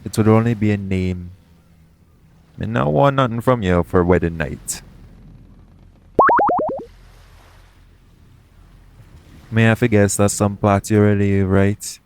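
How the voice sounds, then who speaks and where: A man's voice: lowish voice, normal speed, a little mellow, slight background noise, lightly acted. A man playing a roguish, protective pirate captain in an intimate audio roleplay, talking tenderly to one listener in a colloquial seafarer's dialect. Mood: reassuring.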